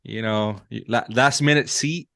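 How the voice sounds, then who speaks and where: A man's voice: fairly low pitch, normal speed, vocal fry, bright, forceful tone, dry recording, clean studio sound. A man in his thirties from Puerto Rico with a Puerto Rican accent, a native Spanish speaker, chatting earnestly as a podcast guest with many restarts. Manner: earnest, frequent restarts and fillers. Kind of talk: casual interview chat.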